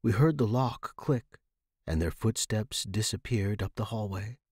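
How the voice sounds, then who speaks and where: A man's voice: fairly low pitch, a little slow, a little mellow, husky, no echo, studio quality. A man reading scripted horror fiction as the narrator.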